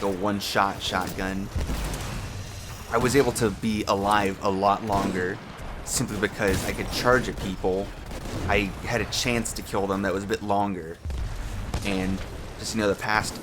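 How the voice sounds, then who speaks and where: A young man with a low, slightly groggy voice rambles through a casual commentary, swearing and restarting as he vents. He records from home on a desk mic. A clear hiss of noise and game gunfire sits behind him. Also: steady tone, moderate pace. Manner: ranty, lots of fillers and restarts, swears. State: voice sounds a little off from just waking up. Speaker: gaming commentary host. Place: home room with a fan.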